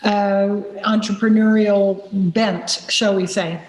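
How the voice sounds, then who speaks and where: A woman's voice: medium pitch, steady tone, slow pace, a little mellow, roomy sound, rough recording. A woman hosting an interview, asking curious, encouraging questions. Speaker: summit host interviewer.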